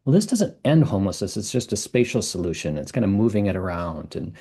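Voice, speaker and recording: A man's voice: lowish voice, sing-song, quick pace, mellow, slightly rough. A man in his fifties from the US Midwest, a professor and interview guest, explaining in fluent storytelling. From Minnesota, US Midwest. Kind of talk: conversational interview.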